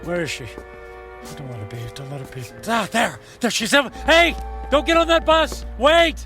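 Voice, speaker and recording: A man's voice: extremely high pitch, expressive, moderate pace, pushed and bright, faint music behind, exaggerated voice. An actor playing an emotional, desperate man in a radio drama, agitated and prone to stammering. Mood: urgent, frantic. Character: angry, desperate man who believed himself doomed.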